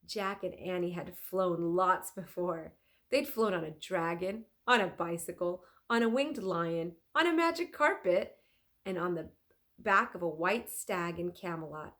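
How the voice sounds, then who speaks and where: A woman teacher reading a children's story aloud in an expressive, deliberate manner. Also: fairly low pitch. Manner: deliberate, clearly phrased, animated.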